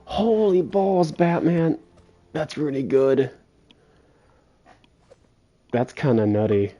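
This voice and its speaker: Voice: mid-range voice, sing-song, slow, muffled recording. A game streamer giving casual livestream commentary, muttering and thinking aloud while playing.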